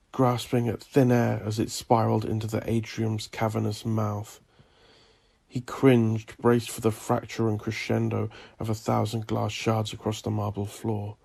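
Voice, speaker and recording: A man reading dark horror fiction as a narrator, in a brooding, ominous and theatrical manner. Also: fairly low pitch, creaky, husky. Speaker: narrator of a horror audio drama. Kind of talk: dramatic gothic scripted narration.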